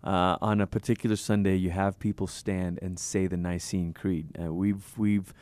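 A man from Malaysia, a pastor, talking in a lively, thoughtful way in an interview and laughing easily. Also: deep voice, no room sound. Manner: animated, thoughtful, quick to laugh. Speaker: pastor and author. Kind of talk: conversational interview.

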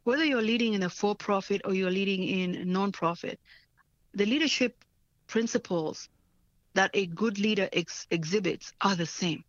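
A woman's voice: mid-range voice, normal speed, no room sound. Earnest woman on a dull, dry line. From Africa. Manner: earnest, frequent restarts and "right?" checks. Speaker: guest, nonprofit leader. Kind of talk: reflective interview answers.

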